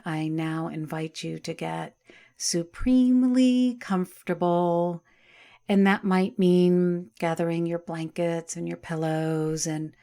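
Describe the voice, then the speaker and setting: A woman teaching yoga nidra, guiding a relaxation meditation in a soft, soothing way with long pauses. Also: low voice.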